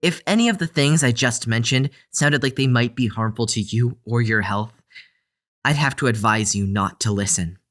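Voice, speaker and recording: A man delivers a scripted podcast introduction as the show's creator. His voice is medium in pitch, and he speaks earnestly and deliberately, addressing listeners directly. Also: fairly bright tone. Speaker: show creator and head writer.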